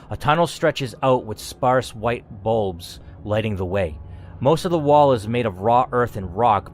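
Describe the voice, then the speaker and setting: A man's voice: on the low side, slight background noise. A man giving a dramatic, theatrical reading of a scripted story, as a gaming host narrating aloud. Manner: theatrical, expressive reading with asides.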